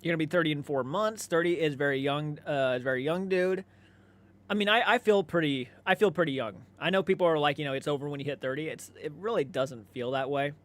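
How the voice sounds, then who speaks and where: A man's voice: on the high side, a little fast, fairly bright tone. A man, maybe in his thirties, chatting casually as a livestream host, laid-back and conversational, swearing freely and responding to viewer comments.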